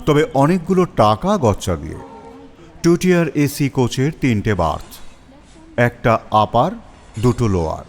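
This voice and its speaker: A man narrating a scripted story as its storyteller. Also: medium pitch. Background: faint music.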